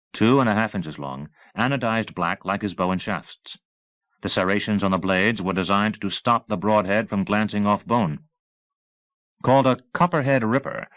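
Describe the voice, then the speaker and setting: A low-voiced male audiobook narrator reads dramatic fiction. His phrasing is measured and deliberate, and the sound is close and dry, with very little room. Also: fairly bright tone, no room sound. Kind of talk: scripted novel narration, dramatic.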